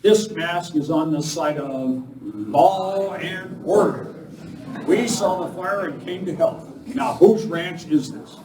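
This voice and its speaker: An actor playing an earnest, commanding masked lawman hero in a live Western radio play, speaking deliberately. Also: fairly high pitch. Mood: steady, grave. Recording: echoey room from far off, noisy.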